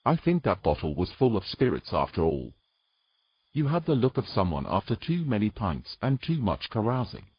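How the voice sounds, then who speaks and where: Man playing a husky, weary knight, lively intonation. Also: fairly low pitch, moderate pace, dry recording, muffled recording, full character voice. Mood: coaxing, teasing. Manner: dry, teasing, grumbling. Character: weary, gruff male knight with dry teasing humour. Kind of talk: romantic fantasy audio drama acting.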